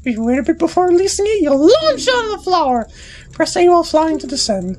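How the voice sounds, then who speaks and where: A woman's voice: very high voice, very animated, brisk, a little mellow, pitched above the performer's own voice. A woman voicing a bossy, impatient little girl fairy from a video game, pushy and whiny. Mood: bossy, instructing. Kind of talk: dubbing video game dialogue.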